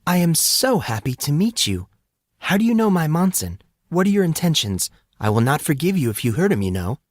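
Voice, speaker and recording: A man's voice: fairly high pitch, sing-song, a little fast, dry recording, clean studio sound, pitched above the performer's own voice. A man voicing an effusive, nosy, protective motherly woman in audiobook dialogue, gushing and forthright.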